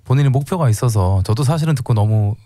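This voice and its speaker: Quick-talking, mellow man on radio. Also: mid-range voice, expressive, dry recording, studio quality. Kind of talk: casual radio talk.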